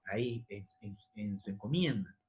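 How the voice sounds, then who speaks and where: A man's voice: on the low side, expressive, normal speed, darkish tone. A man giving a casual history lesson to secondary students, thinking aloud and rephrasing, often checking in with "¿de acuerdo?". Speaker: history teacher.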